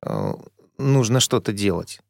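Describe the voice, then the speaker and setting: A man's voice: lowish voice, wildly swooping pitch, measured pace, vocal fry, dry recording, clean studio sound. A man as a guest on a radio interview, thinking aloud and searching for words. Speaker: guest musician. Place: radio studio.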